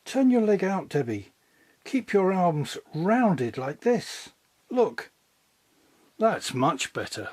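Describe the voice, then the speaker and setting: A firm, encouraging ballet mistress from a children's storybook, acted out by a man. The voice is lifted high and a little husky, with precise, commanding instruction for young pupils. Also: big pitch swings, slow pace, long pauses, darkish tone, no room sound, heavily acted. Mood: patient, coaching. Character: patient but exacting female ballet teacher, encouraging and firm.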